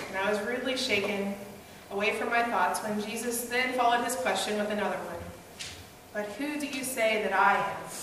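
A young woman pastor at a church pulpit, preaching a first-person story sermon in an earnest, conversational storytelling manner. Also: medium pitch. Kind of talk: first-person dramatic monologue sermon as Peter. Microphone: gooseneck pulpit mic, far camera. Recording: echoey hall, distant and noisy.

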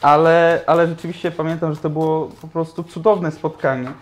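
A young man in his twenties, an actor and guest on a cooking show, talking in a lively, enthusiastic way in a small home kitchen. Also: a little high, a little fast. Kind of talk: casual chat. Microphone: lapel mic.

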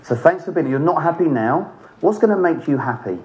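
Fast-talking man coaching on a stage. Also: on the high side, lively intonation, darkish tone, slight background noise. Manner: fast, pushy, encouraging questioning. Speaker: property training speaker on stage. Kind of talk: live seminar coaching an audience member. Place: large hotel conference hall with audience.

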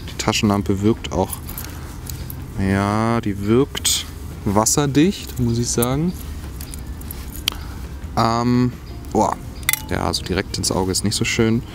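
A man's voice: on the low side, slight background noise. A man casually reviewing a cheap survival kit outdoors, musing aloud as he tries each item. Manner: musing, talks while handling items. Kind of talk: casual hands-on product review. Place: outdoors on grass by a log. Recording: music playing underneath.

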